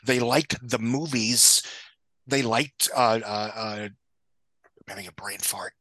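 A man with a husky voice giving opinionated, casual film commentary as a podcast guest. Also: fairly low pitch, slow pace, pushed and bright, dry recording. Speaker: guest box office commentator.

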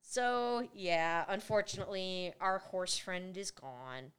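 A woman's voice: fairly low pitch, slow pace, a bit bright, clear, resonant tone. A woman co-hosting a podcast, recapping an old film in casual chat, laughing often and acting out characters' lines. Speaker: podcast co-host.

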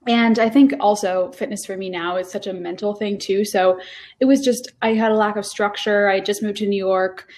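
A woman's voice: medium pitch, fairly even intonation, normal speed, a little mellow. A woman in her early twenties from Rhode Island, a guest telling her story in casual, rambling talk full of fillers and restarts. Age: 20s. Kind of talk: casual interview chat.

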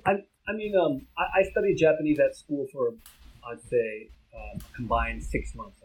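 A man's voice: mid-range voice, big pitch swings, moderate pace, rough recording. A man from the United States with an American accent, whose first language is Vietnamese, co-hosting a casual chat and hedging often with "like" and "um". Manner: laid-back, hedges with "like, um". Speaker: podcast co-host.

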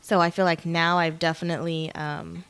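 A woman's voice: fairly low pitch, little pitch movement, normal speed, a bit bright, clean tone. A woman in her mid twenties co-hosting a podcast, talking casually and informally in loose, unscripted phrases. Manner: informal, frequent "like" as filler. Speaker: podcast co-host.

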